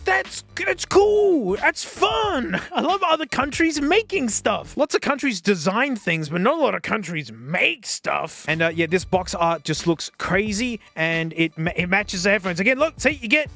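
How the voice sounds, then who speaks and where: Man, Australian accent, high-pitched, excitable, slangy, casual gadget review, unscripted. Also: unhurried, creaky, hardly any pauses, soft background music. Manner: excitable, jokey, informal slangy talk.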